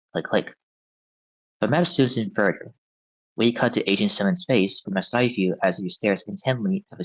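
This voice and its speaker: Low-voiced man narrating, dull sound. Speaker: script narrator. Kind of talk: reading stage directions at a table read. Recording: dull, band-limited audio.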